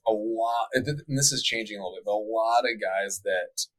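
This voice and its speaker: Laid-back low-voiced young man. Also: brisk, a bit bright, slightly rough, no room sound. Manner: laid-back, many likes and ums, faith talk. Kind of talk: casual podcast chat.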